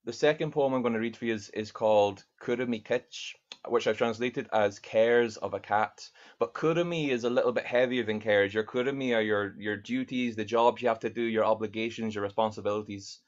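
A man's voice: lowish voice, highs cut off. A man. He is a poet reading his work and introducing it in an earnest, thoughtful way from a home study over a video call. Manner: earnest, thoughtful, reads verse slowly and deliberately. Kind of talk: poetry reading with spoken introductions. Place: home study lined with bookshelves, indoors. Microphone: video call webcam.

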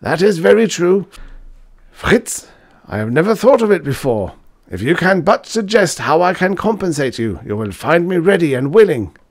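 A man reading a story aloud, voicing an old male professor with a high, excitable delivery. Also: normal speed, creaky, no echo, studio quality, full character voice. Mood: distressed. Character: old male German professor, eager and fussy.

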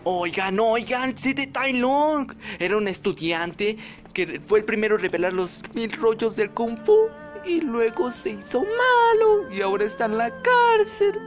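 A woman's voice: a little high, very animated, unhurried, few pauses. A woman with a Latin American accent voicing a big, clumsy, eager young male panda in a cartoon fandub. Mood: chatty. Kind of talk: animated film fandub acting. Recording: film music behind.

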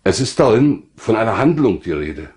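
An older man speaks as an author presenting his work. His voice sits fairly low, and the pitch moves around a lot as he reads his prepared text. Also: very animated, moderate pace, darkish tone. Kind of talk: scripted self-presentation, reading.

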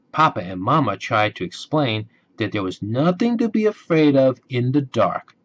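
Slow, animated male storybook reader, slightly muffled. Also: mid-range voice. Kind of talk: expressive bedtime story narration.

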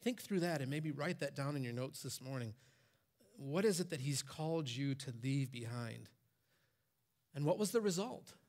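A man's voice: mid-range voice, expressive, moderate pace, a little mellow. A pastor in his forties preaching on a church stage, his voice lifting when he quotes commands. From Michigan, USA. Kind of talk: sermon.

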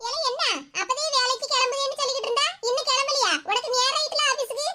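A woman playing a quick-tempered older mother-in-law who scolds sharply. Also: very high voice, fairly even intonation, slight background noise. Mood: scolding. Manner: sharp, scolding, fast-talking.

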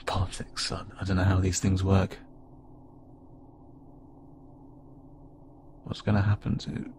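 A man playing an awkward, gentle insect-like alien pilot who speaks haltingly and unsure of himself, in an intimate audio roleplay. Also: low voice, monotone. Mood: earnest, hesitant. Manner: hesitant, halting, stammering and self-doubting. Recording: clearly noisy, with ambient sound bed.

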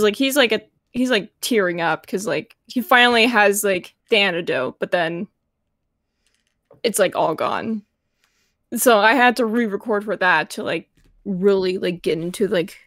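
A woman with a medium-pitched voice answers as a voice actor on a panel. She talks in a casual, unscripted way, and the recording is dry. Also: no room sound.

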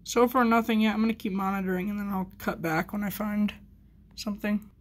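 A woman's voice: mid-range voice, steady tone, fairly quick. A woman chatting informally in a hobby vlog, rambling and thinking aloud as she goes. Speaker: hobbyist video maker. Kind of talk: casual vlog chat.

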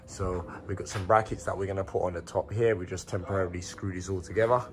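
A man in his thirties, hoarse from a sore throat, casually walking viewers through his building site and explaining the work step by step. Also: deep voice, fast, crowd noise. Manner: relaxed, explains step by step with fillers. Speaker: builder vlogger. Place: unfinished building extension under a new roof, indoors. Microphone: phone held at arm's length.

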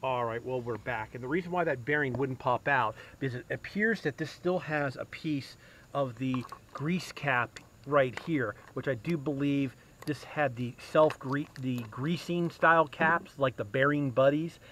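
A man's voice: mid-range voice, unhurried, a bit bright, a little hiss or hum. A man narrating a casual do-it-yourself repair outdoors, thinking aloud with fillers and restarts, recorded on a head-mounted camera. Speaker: DIY mechanic. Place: outdoors in a yard. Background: crickets and insects.

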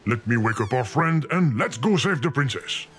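An actor voicing a melodramatic, excitable sentient computer program in a video game, theatrical and swinging between gloom and panic. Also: male voice, medium pitch, normal speed, music in the background, close to an everyday voice. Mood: impatient. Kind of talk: video game character voice acting.

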